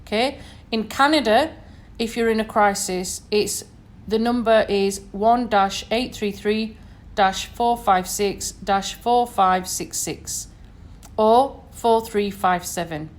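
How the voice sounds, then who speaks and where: Woman, Sheffield accent, slightly high pitch, podcast host, informal advice talk, earnest and emphatic. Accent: Sheffield, northern English. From Sheffield, northern England. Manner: earnest, emphatic, often checks with "okay?" and "you know".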